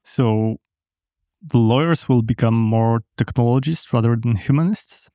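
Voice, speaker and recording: A man's voice: mid-range voice, a little slow, warm tone, dry recording, clean studio sound. A man whose first language is Polish, hosting a podcast and interviewing guests with brief questions and mm-hmms. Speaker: podcast host.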